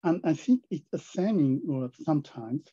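A man with a Japanese accent reading an academic talk in halting, hesitant English over a video call. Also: a little high, expressive, warm tone, husky. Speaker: presenter at an academic seminar. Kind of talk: academic talk read from a script. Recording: dull, muffled video-call sound.

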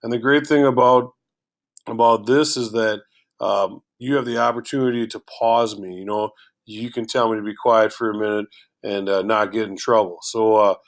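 A man with a low voice teaching a recorded lesson through a shared screen, casual and full of filler words.

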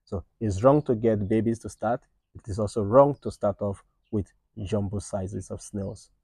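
Man in his 30s, low voice, mellow tone, dry close phone sound, earnest advice, outdoor shed. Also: normal speed, husky, no echo. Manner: earnest, repeats points with "right?" and "all right". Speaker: snail farmer and channel host. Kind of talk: informal farming advice to camera. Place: outdoor shed with tin roof at a snail farm. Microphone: phone camera at arm's length.

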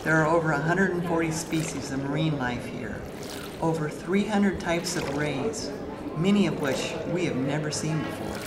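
A woman narrating a travel vlog in a calm, admiring scripted voice-over. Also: low voice, normal speed, very noisy. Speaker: travel vlogger. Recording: roomy voice-over under loud music.

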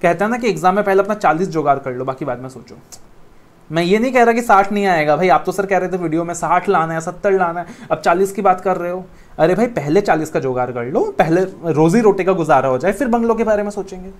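A man's voice: sits high, expressive, quick pace. Motivational Indian man teaching exam law. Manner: coaxing, motivational, mixes English phrases, gestures while talking. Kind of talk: classroom lecture to camera. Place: indoor studio room with a whiteboard screen.

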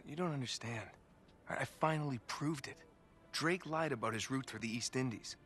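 A man's voice: medium pitch, moderate pace, a bit bright, faint music behind, natural voice. An actor playing a stubborn, wisecracking American treasure hunter in his thirties, casual and evasive, in a video game. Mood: defensive.

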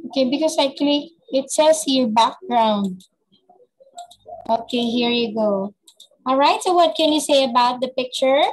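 A young woman from the Philippines tutoring a child in English on a video call through a headset, patient and slow, often repeating the child's answers. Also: high voice, poor audio. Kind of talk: online lesson with a child.

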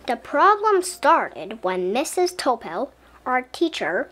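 A woman reading a children's story aloud in an expressive, deliberate storybook style. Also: sits high, faint music behind. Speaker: children's book reader. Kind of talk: expressive scripted storybook narration as a young boy.

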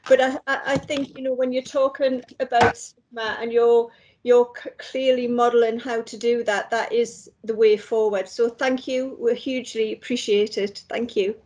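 A woman's voice: on the high side, level tone, moderate pace, darkish tone. A woman moderating a webinar, offering grateful thanks to a speaker.

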